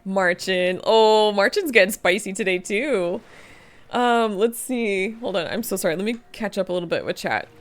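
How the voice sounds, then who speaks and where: A woman's voice: mid-range voice, expressive, moderate pace, faint music behind. A tired, slightly sniffly woman streaming a game, chatting casually, reading chat aloud and laughing often. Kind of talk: casual gaming livestream chat.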